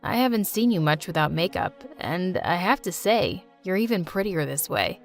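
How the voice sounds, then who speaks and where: A woman voicing a gentle, caring young man in a narrated story, speaking softly and tenderly. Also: fairly low pitch, expressive, moderate pace. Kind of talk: scripted storytelling dialogue. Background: faint music.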